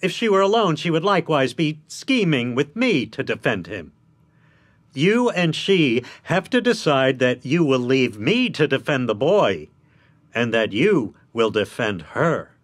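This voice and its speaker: An actor playing a shrewd, blunt defence lawyer in a radio drama, lecturing in an emphatic, articulate way. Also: male voice, medium pitch, very animated, a little slow. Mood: coolly firm.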